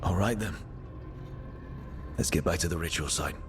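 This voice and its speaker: Low-voiced, earnest young hero. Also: male voice, normal speed, fairly bright tone, slight background noise. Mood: resolute. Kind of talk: cinematic video game dialogue.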